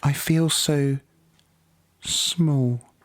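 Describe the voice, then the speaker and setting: A man's voice: a little high, fairly even intonation, very slow, pitched above the performer's own voice. A man voicing a tiny, plucky female sea snail in a children's storybook reading. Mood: earnest, urgent.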